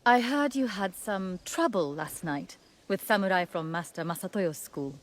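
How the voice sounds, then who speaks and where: A woman's voice: medium pitch, animated, moderate pace, lightly acted. An actress playing a composed, gracious young noblewoman in a video game, speaking formally. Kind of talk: dramatic video game acting.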